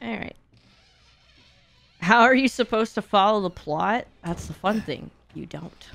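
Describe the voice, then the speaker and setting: Animated young woman streaming a game. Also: mid-range voice, a little slow, vocal fry. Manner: reacts loudly, swears casually. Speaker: livestream host and speedrunner. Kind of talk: casual gaming stream commentary. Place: indoors, gaming room at home.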